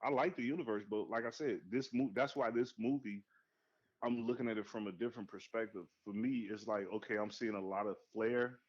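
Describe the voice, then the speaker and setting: Excitable, swearing man, lo-fi sound. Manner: animated, profane, swings to high excited outbursts. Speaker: podcast co-host. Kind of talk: casual movie discussion. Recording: dull lo-fi sound.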